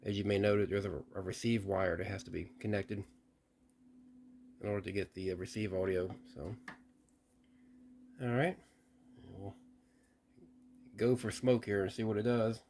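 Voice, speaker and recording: Low-voiced man calmly testing old radio gear. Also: moderate pace, long pauses, a little hiss or hum. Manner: plain-spoken, thinking aloud with restarts. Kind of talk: casual unboxing walkthrough.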